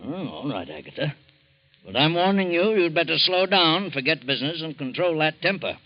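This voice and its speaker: A man's voice: a little high, sing-song, normal speed. An actor playing a jovial family doctor who chides his patient in old radio acting. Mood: chiding. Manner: chiding, jovial. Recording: dull old radio recording.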